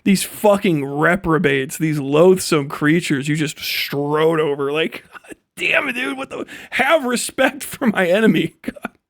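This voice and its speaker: A man's voice: a little high, expressive, measured pace, fairly bright tone, no echo, clean studio sound. A man giving a casual livestream rant as host, swearing freely in slangy, sarcastic talk and often laughing as he speaks.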